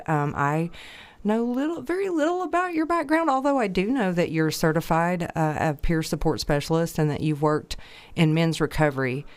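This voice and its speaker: A woman's voice: lowish voice, clear, resonant tone, dry recording. A woman hosting a podcast interview, slightly stuffy, speaking in an empathetic, probing way. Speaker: podcast host.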